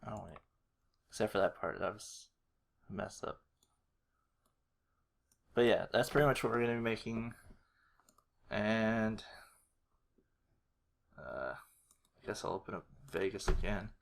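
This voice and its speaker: A man's voice: on the low side, unhurried, gravelly, long pauses. A man narrating a casual tutorial, hesitant and unrehearsed, thinking aloud as he works. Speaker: animator, video creator.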